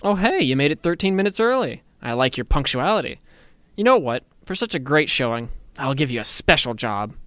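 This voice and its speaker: A man's voice: a little high, sing-song, brisk, fairly bright tone, smooth, dry recording. Guy acting as smug chatty boss, teasing and a bit intimidating, asmr roleplay, super clean dry sound. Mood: pleased, coaxing. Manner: teasing, self-satisfied, chatty.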